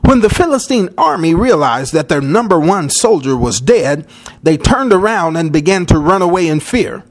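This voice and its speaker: A man tells a children's Bible story with dramatic delivery. His voice is high for a man. The intonation is lively and sweeps widely as he narrates. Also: moderate pace.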